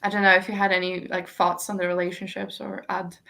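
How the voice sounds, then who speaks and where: A woman's voice: mid-range voice, flat delivery, fast, gravelly, pushed and bright. A woman chatting casually and effusively about books as a co-host, with gushing, slangy talk. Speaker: book discussion co-host. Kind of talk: casual book chat.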